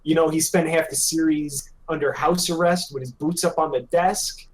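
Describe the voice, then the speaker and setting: A man's voice: on the high side, steady tone, normal speed, a little hiss or hum. A man co-hosting a podcast in casual group chat, joking and teasing. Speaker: podcast co-host.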